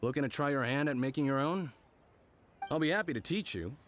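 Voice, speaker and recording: A man's voice: mid-range voice, lively intonation, a little fast, slight background noise, natural voice. An actor playing a kindly, patient lantern craftsman in a video game, explaining things with thoughtful hums. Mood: busy, helpful. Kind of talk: animated video game voice acting.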